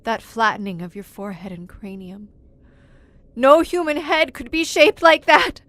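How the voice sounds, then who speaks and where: An actress playing a horrified, pleading confidante in a radio horror drama, breathless and dramatic. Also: female voice, a little high, steady tone, normal speed, a bit bright, a little hiss or hum, close to an everyday voice. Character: horrified, pleading female confidante of a doomed inspector.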